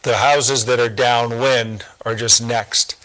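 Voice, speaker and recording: A man anchoring live news, ad-libbing in a measured, reflective and somber way. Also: mid-range voice, fairly even intonation, a bit bright, rough recording.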